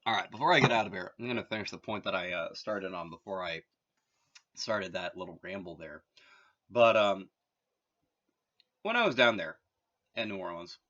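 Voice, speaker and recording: A man's voice: low voice, moderate pace, long pauses, pushed and bright, muffled recording. A man from Massachusetts talking in a rambling, casual monologue, full of filler words and wry asides. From Massachusetts, USA. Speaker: vlogger.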